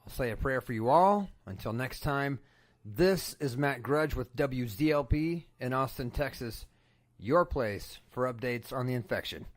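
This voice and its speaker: A man playing an earnest radio newsman in an audio drama, solemn and measured as he broadcasts from a disaster. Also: mid-range voice, expressive, no echo, lightly acted. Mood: solemn, hopeful. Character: earnest male radio newsman reporting an apocalypse. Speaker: radio news broadcaster and host.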